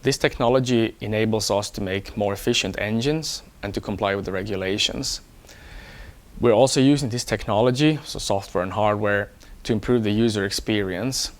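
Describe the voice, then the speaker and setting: A man from Hong Kong, an engineer, talking about himself in a measured, explanatory way for an employee profile. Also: on the low side. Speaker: electronics engineer. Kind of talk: first-person employee profile talk.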